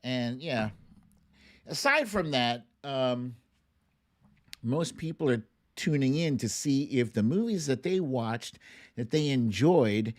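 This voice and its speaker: A man hosting a livestream with casual commentary, speaking in a halting, conversational way with pauses and emphatic stresses. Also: medium pitch, lively intonation. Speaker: host of a livestream show.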